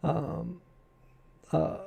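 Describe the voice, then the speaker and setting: A man's voice: extremely slow, mellow. A man with an Australian accent hosting a casual live stream, chatting with viewers and joking at his own expense into a desk microphone. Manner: chatty, self-deprecating, greets viewers from chat. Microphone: desk microphone in front of him.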